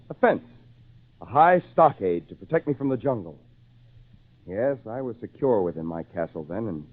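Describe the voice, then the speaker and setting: An actor playing a lonely shipwrecked man who tells his own tale in earnest, theatrical, measured phrases with dramatic pauses, in old-time radio style. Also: medium pitch. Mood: wistful. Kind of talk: old-time radio drama acting, first-person narration. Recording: tinny, band-limited old radio recording, faint noise.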